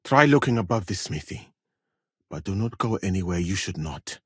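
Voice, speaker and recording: Casting: courteous, learned traveler-physician for a game; lowish husky voice, formal careful wording, expressive swings in pitch. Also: male voice, no echo, studio quality, full character voice. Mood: calm, practical. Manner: measured, formal, careful phrasing. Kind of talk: video game character dialogue.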